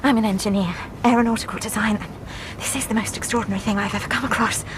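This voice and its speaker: An actress playing a prickly young English woman engineer in a wartime radio drama, flustered and halting. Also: fairly high pitch, steady tone, close to an everyday voice. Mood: earnest. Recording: loud engine and wind noise behind.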